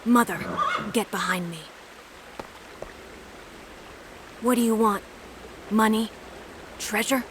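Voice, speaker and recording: A woman voicing a young boy prince with a dark side in a video game, intense and theatrical. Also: fairly high pitch, a little slow, natural voice. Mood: startled, brave. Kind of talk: dramatic video game acting. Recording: loud background noise and ambience.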